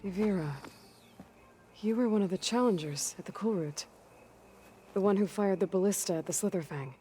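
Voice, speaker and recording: A woman's voice: medium pitch, normal speed, mellow, soft background music, lightly acted. A woman playing a determined, matter-of-fact young heroine in a video game cutscene. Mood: curious, matter-of-fact.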